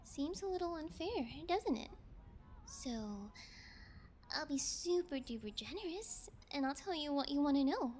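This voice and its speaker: A high, girlish female kidnapper fiend, acted by a woman for an ASMR audio roleplay. She talks slowly, drawing out sugary, sociopathic taunts and theatrical pouts. Faint noise sits behind the voice. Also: expressive, darkish tone. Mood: teasing, threatening. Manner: sugary taunting, drawn-out, theatrical mock pouting. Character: playful young female fiend kidnapper, sociopathic, childish, mocking.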